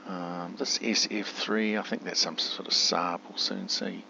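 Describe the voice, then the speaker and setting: A man with a deep voice that has a bright edge chats his way through a simulator game. He thinks aloud in a casual way and slips into spoken radio commands. The recording is dull, with faint hum behind him. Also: steady tone, a little slow, creaky. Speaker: gamer narrating a simulator playthrough.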